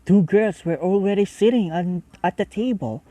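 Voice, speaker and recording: A woman reading a visual novel aloud with casual asides, halting and stumbling over words as she goes. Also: mid-range voice, warm tone, dry recording, rough recording. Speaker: reader and commentator.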